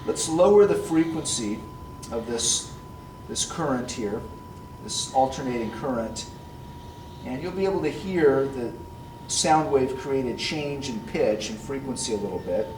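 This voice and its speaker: A man in his forties explains a science demonstration step by step, like a physics teacher, in a deliberate way. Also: animated. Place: classroom science lab, indoors. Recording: roomy, distant mic, background rumble.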